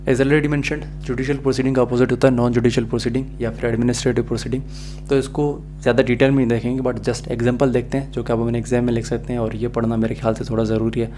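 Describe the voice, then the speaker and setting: A man's voice: mid-range voice, a little mellow. A man gives an explanatory law lecture, speaking didactically and mixing in English phrases. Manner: didactic, checks in with listeners often. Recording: faint background hum.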